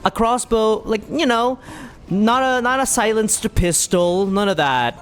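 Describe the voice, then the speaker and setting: A man's voice: high-pitched, measured pace, soft background music. A man giving casual, playful commentary as he plays a video game, rambling and reacting as he goes. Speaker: streamer playing a video game.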